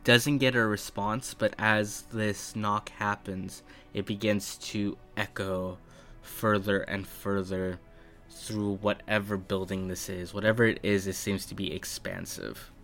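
A man runs a tabletop fantasy game, describing scenes in a slow, measured voice. His pitch sits a little low and his phrasing is halting as he improvises. Quiet music runs underneath. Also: little pitch movement. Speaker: game master. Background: faint background music.

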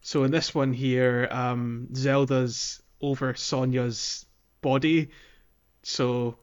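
A man's voice: mid-range voice, flat delivery, takes it slowly, clean tone, lo-fi sound. A man hosting a casual gaming podcast, talking in an enthusiastic, geeky way. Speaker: podcast host. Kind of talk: casual game discussion podcast.

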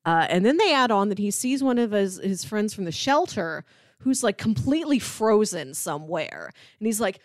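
A woman co-hosting a podcast, casually discussing a film in an animated storytelling way. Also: medium pitch, moderate pace, no room sound, clean studio sound. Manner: animated storytelling, acts out characters' lines. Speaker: podcast co-host.

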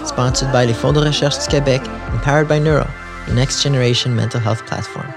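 Upbeat guy cohosting a podcast, chatty, soft music in the background. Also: medium pitch, fairly even intonation, fast talker. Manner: upbeat, chatty, frequent fillers like "you know" and "like". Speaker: podcast co-host. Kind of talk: casual podcast chat.

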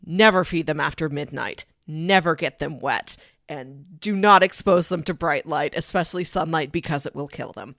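A woman with a fairly low voice talks casually as a podcast co-host. The sound is dry and close. She tells things in a conversational, playful storytelling way, with little asides. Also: moderate pace, hardly any pauses, a bit bright, dry recording. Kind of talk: casual film discussion.

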